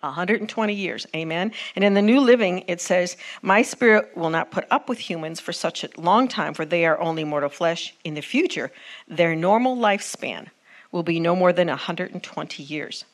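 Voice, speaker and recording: A woman's voice: fairly low pitch, expressive, normal speed. A woman preaching a teaching sermon, earnest and often asking the congregation to respond.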